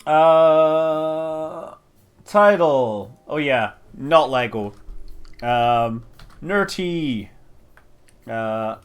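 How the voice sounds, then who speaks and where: A man's voice: fairly high pitch, very slow, long pauses, clear, resonant tone. A man giving casual live stream commentary as he plays, rambling, thinking aloud and exclaiming over details. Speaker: streamer playing a space building game.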